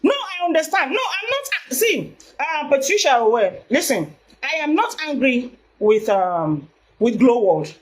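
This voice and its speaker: A Nigerian woman with a loud voice, talking on a live video in an emphatic way and repeating her points. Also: high-pitched, big pitch swings, unhurried. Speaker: vlogger.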